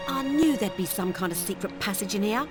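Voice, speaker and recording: An actress playing a cocky young treasure-hunting thief in a video game, with an English accent and slangy, teasing talk. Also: female voice, medium pitch, lively intonation, a little fast, music in the background, natural voice. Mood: smug teasing. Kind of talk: video game cutscene acting.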